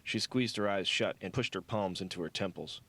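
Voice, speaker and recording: A man's voice: low-pitched, moderate pace, bright, forceful tone. A man reading scripted fiction as an audiobook narrator.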